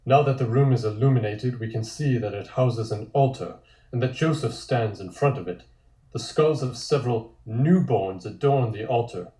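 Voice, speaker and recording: A man's voice: mid-range voice, normal speed, dark tone. A man reading a comic script aloud as narrator. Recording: echoey room.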